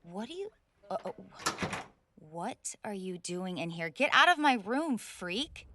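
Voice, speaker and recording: A woman's voice: medium pitch, very animated, measured pace, sharp tone, a little hiss or hum. A woman playing a spoiled rich college bully in a roleplay audio drama, indignant, snobbish and sweary, stammering when flustered. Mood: indignant, disgusted. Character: haughty, spoiled rich college girl bully, hot-tempered tsundere. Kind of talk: scripted roleplay audio drama, one side of a dialogue.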